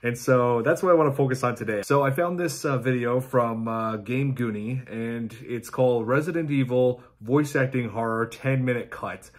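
A man in his thirties hosting a casual reaction video in an amused, halting way, often laughing as he talks. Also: medium pitch. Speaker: YouTube host reacting to video game clips. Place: small indoor room at home.

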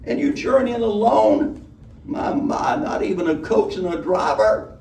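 A man's voice: high-pitched, lively intonation, measured pace, gravelly, a little mellow, pitched above the performer's own voice, full character voice. A man voicing a coarse, flirtatious tavern madam with rustic, wheedling speech, in a story reading. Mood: coaxing, flirtatious. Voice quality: harsh, grinding. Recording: roomy, distant mic, background noise.

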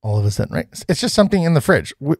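A man in his thirties giving casual, energetic reaction commentary, coaching viewers and repeating himself for emphasis. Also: on the high side, rapid-fire, dry recording, studio quality. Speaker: real estate content creator reacting to a video. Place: small home studio, indoors.

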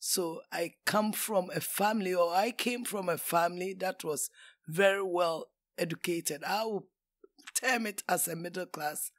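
Older Ghanaian woman, interview guest, slow and deliberate, talkative. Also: on the low side. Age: 60s. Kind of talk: casual interview.

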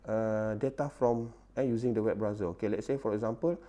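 A man's voice: on the low side, steady tone, a little fast, warm tone. A Malaysian-accented man in his thirties giving an informal slide lecture, repeating points and tagging phrases with "eh" and "okay". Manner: repetitive, with frequent "eh" and "okay" tags.

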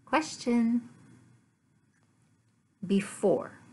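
A middle-aged woman teaching English to learners, speaking deliberately in short, carefully enunciated phrases with pauses between them. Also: a little high, lively intonation, dark tone, breathy edge. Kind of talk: slow language lesson for learners. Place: small living room at home, indoors.

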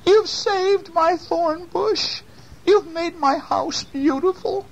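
A woman voicing a fretful, tearful old lady from a children's storybook, with a wavering, drawn-out delivery. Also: muffled recording, exaggerated voice. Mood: relieved, grateful. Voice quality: high, wavering.

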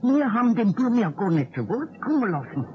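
An actor playing a fretful, apologetic middle-aged caretaker in an old radio play. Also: male voice, extremely high pitch, big pitch swings, fairly quick. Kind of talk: 1960s radio play acting. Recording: dull echoey old radio recording.

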